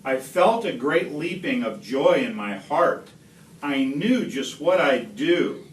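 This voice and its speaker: A man reading a rhyming picture book aloud to children, expressively, with deliberate, dramatic pauses. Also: fairly high pitch, expressive. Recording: roomy, distant home video with slight noise.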